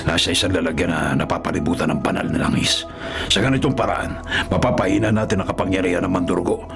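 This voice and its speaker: A man's voice: deep voice, fairly even intonation, fast, vocal fry. A Filipino actor playing a grave, protective man who battles a monster in a radio horror drama, speaking solemnly and with command. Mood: grave, instructive. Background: dramatic music.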